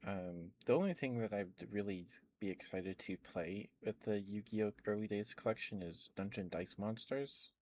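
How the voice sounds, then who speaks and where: A man rambling in a soft, meandering ASMR chat, with stammered restarts and fillers, close to the microphone. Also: fairly low pitch, normal speed, hardly any pauses, slight background noise. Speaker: ASMR streamer.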